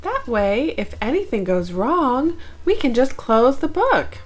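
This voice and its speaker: A woman's voice: high voice, big pitch swings, unhurried, faint music behind, pitched above the performer's own voice, heavily acted. A woman voicing an easily scared male bear in a children's storybook, fretful and full of worry. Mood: worried, fretful. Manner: fretful, listing worries and denying fear.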